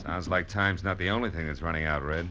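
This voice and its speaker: An actor playing a tough, wry private detective, clipped and sardonic, in 1940s radio noir style. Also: male voice, low voice, brisk, noisy background, close to an everyday voice. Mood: tough, defiant. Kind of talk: 1940s radio noir acting with first-person narration. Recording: old radio broadcast with music.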